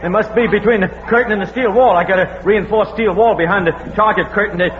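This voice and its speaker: An actor playing a folksy, excitable shooting-gallery barker who stammers, in a 1940s radio mystery. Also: male voice, sits high, fast talker, close to an everyday voice. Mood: alarmed. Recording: dull, noisy old radio recording.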